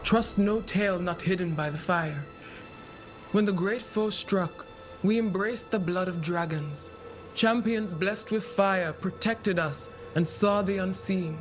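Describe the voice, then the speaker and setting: A grave, oracular seer from a fantasy video game. The voice is high for a male and delivers cryptic lines in solemn, measured phrases, with music clearly underneath.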